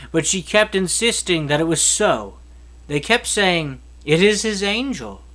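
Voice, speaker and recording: Male scripture narrator, lively and varied intonation, bright forward tone, expressive storytelling read. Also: sits high. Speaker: Bible reader.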